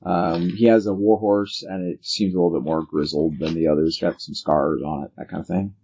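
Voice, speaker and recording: Deep gravelly guy game mastering, mellow delivery, kinda muffled lo-fi audio. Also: steady tone, a little fast, a little hiss or hum. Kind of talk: narrating a tabletop roleplaying game, casual. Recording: dull lo-fi sound.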